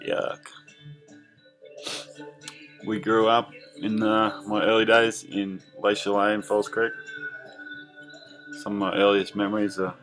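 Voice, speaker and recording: A young Australian man casually telling the story of his childhood, rambling with lots of fillers over soft background music. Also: fairly low pitch, low-quality recording. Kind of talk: casual narration over drawings.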